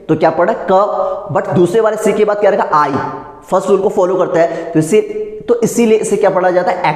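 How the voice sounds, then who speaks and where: A man's voice: high-pitched, a little mellow. Male Indian-accented teacher, question-and-answer drilling style, recorded in a very reverberant room. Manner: didactic, repeatedly asks questions and answers them. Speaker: English pronunciation teacher. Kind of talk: classroom lesson in spoken English. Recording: echoey room with long reverb.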